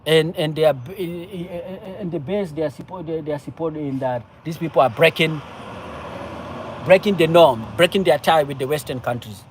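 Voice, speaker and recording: A man from Cameroon with a Cameroonian accent, speaking off the cuff about politics in a halting, repetitive, emphatic way. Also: a little high, background noise. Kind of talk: impassioned political discussion, casual and unscripted.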